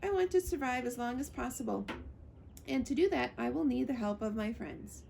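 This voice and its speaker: Gentle female robot for a storybook woman reader, formal, measured phrasing, faint noise behind. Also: a little high, animated, vocal fry, voice pushed up. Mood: calm, sincere. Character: gentle, logical female robot, helpful friend. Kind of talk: storybook character voice.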